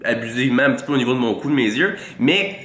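A man's voice: medium pitch, expressive, fast, fairly bright tone, slight background noise. Québécois man in his twenties, stuffy with a cold, teasing and mock-boastful, close studio mic in an echoey little room. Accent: Québécois French. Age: 20s. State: congested with a cold. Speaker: fitness vlogger. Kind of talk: joking tutorial to camera. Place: small room with a green-lit wall. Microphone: large studio mic in front.

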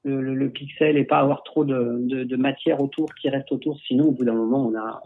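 A man's voice: mid-range voice, fairly even intonation, fairly quick. A male photographer chatting on a livestream, answering viewer questions with many hesitations and restarts, speaking through a headset. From France. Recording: husky, tinny call audio.

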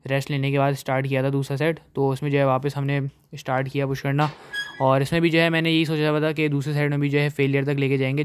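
A young man calmly narrating his gym workout as a voice-over, with many fillers. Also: a little high, smooth. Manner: steady recap, heavy fillers.

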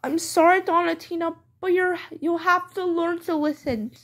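A woman's voice: very high voice, fairly even intonation, normal speed, fairly bright tone. A woman playing a strict mother who lays down rules in a firm, scolding way, in a homemade pretend-play skit.

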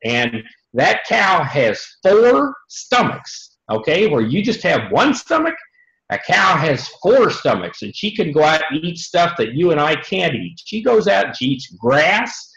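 A man's voice: a little high, a bit bright. An American man in his sixties with a folksy manner, explaining things simply to schoolchildren as a dairy farmer and often checking in with his listeners. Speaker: dairy farmer and tour guest. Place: trophy-lined office, indoors. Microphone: computer mic on a video call. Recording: muffled, echoey video call audio.

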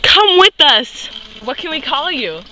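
A woman acts out an excitable explorer in a homemade comic skit. The voice is very high and swings widely, drawing words out slowly with playful exclamations. The recording is noisy. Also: full character voice. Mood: eager. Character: giddy, excitable explorer on a frontier expedition. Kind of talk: amateur improvised comic skit.

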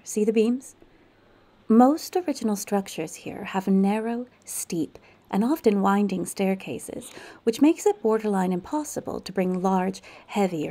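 Gravelly-voiced woman narrating a walking tour. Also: medium pitch, dark tone. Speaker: tour guide.

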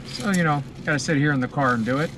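A man's voice: a little high, quick pace. A middle-aged American man giving a casual, savoring food review to camera, talking between bites inside a car. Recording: car cabin with clear ambient noise.

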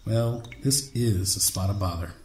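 Echo and faint noise surround a man acting as a wry, loyal male confidant in a radio drama. His lowish voice is measured and slightly formal, a cautious voice of reason. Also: close to an everyday voice. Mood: wry, concerned. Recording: echoey, faint background noise.

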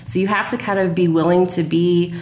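A woman's voice: fairly low pitch, steady tone, brisk, a little mellow. A woman speaking as a guest in a conversational podcast interview about her work. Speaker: podcast guest, radio drama producer. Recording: roomy echo, faint noise.

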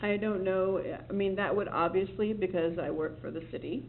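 City staffer at a board meeting: a rambling, reassuring explainer with a gravelly edge, heard over a tinny line. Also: mid-range voice, moderate pace, a little hiss or hum. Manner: rambling, self-correcting, reassuring. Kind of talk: public meeting briefing. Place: meeting room. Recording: tinny, lo-fi meeting recording with faint ambience.

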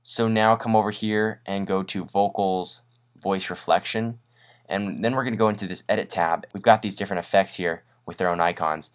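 A man hosting a casual software tutorial in an informal, upbeat, self-deprecating way. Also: sits low, steady tone, normal speed, clear, resonant tone. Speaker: tutorial host. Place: voice-over on a screen recording.

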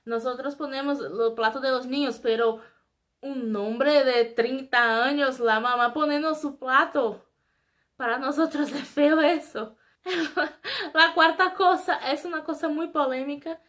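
A young woman from Brazil speaking Spanish with a Brazilian Portuguese accent, chatting to camera in a casual, self-mocking vlog from a small room. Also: sits high. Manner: chatty, self-mocking, animated faces and gestures. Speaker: vlogger. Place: small indoor room before a flag.

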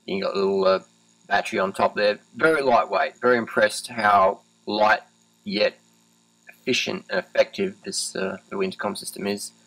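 A man's voice: fairly low pitch, animated, moderate pace, vocal fry, a bit bright, no echo, low-quality recording. A man in his thirties with an Australian accent, giving a casual, laid-back product review in short, clipped phrases. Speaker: vlogger, cyclist reviewing gear.

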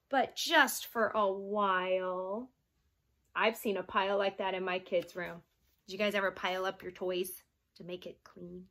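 Female children's read-along teacher, thirties, bright tone, swooping sing-song delivery, engages listeners directly. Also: high voice. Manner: animated, sing-song, asking the listeners questions. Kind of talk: expressive read-aloud to young children with chatty asides. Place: small child's bedroom, indoors.

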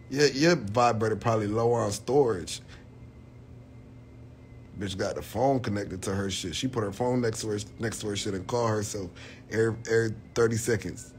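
Brief: young man in his 20s, low-voiced, crude jokester chatting to viewers from bed, phone mic close, faint room hum behind. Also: normal speed. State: tired, late at night.

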